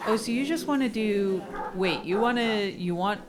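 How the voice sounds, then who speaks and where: A woman's voice: medium pitch, lively intonation, moderate pace, very noisy, natural voice. A woman playing a hesitant but accommodating small-town dog owner in an improvised audio drama, speaking casually and repeating herself. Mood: puzzled, doubtful.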